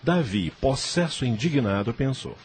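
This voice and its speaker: A man's voice: mid-range voice, expressive, normal speed, slight background noise. A man narrating a scripted audio novel as its storyteller.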